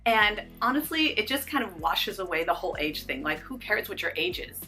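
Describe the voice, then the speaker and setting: A woman's voice: medium pitch, sing-song, a little fast, sharp tone, soft background music. A woman in her fifties talking casually to the camera, upbeat and encouraging, speaking straight to her viewers. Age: 50s. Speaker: fitness and lifestyle YouTuber.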